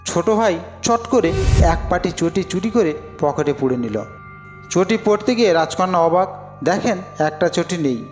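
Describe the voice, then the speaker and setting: A man's voice: high-pitched, expressive, measured pace. A man narrating a scripted fairy tale as a storyteller. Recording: long reverb, music behind. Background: clear music.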